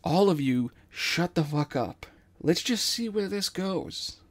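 A man's voice: high voice, animated, slow, pitched above the performer's own voice, heavily acted. A man voicing a scummy schemer who poses as a regal king in a storytelling podcast, declaiming grandly. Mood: defiant, commanding. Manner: grandiose, declamatory when addressing subjects. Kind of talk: dramatized script reading.

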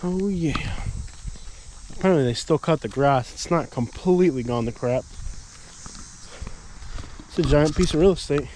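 A man in his thirties vlogging casually outdoors, chatting and thinking out loud with lots of fillers, filmed on a handheld camera. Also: fairly high pitch, moderate pace, long pauses, slight background noise. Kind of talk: casual walking vlog. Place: outdoors at an empty lot, open air. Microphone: handheld action camera at arm's length.

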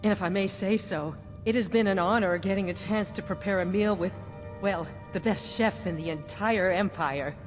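An actress playing an eager, fawning castle chef who talks in a hesitant, formal way, as dialogue in a fantasy video game. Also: female voice, mid-range voice, normal speed, lightly acted. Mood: deferential, unsure. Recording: hall reverb under game music.

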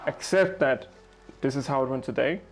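A young man as a guest in a casual conversation on an outdoor balcony, explaining ideas in a relaxed way. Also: mid-range voice, moderate pace, faint music behind.

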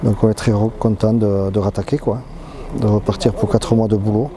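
A man's voice: lowish voice, fairly even intonation, brisk, mellow. A middle-aged man giving short, plain, casual interview answers outdoors. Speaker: ski station worker. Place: outdoors at a snowy ski lift station. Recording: faint outdoor ambient noise.

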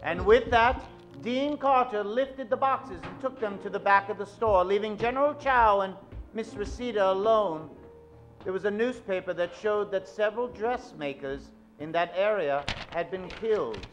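Male actor as melodramatic old-radio narrator: high-pitched, theatrical storytelling, faint music underneath. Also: moderate pace, fairly bright tone, dull audio. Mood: dramatic. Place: theatre stage.

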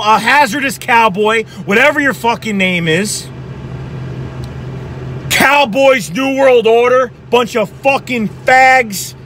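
Hoarse, high-pitched ranting young man. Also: slight background noise. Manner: excited, profane, rapid-fire ranting. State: hoarse from yelling. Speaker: sports fan vlogger. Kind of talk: heated post-game fan rant. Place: inside a car at night.

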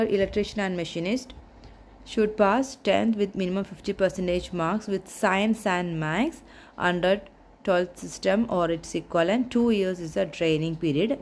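A woman walks through a recruitment notice, reading each rule in turn in a steady, methodical way. Her voice sits a little low for a woman. A faint noise stays under her speech. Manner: methodical, reads the notice aloud point by point with small restarts. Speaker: job notification explainer.

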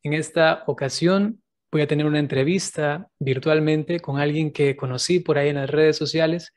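Friendly priest hosting a video interview. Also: male voice, on the high side, fairly even intonation, normal speed. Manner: warm greetings, laughs often. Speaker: priest and host. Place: indoor room with a door behind. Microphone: desk microphone on stand.

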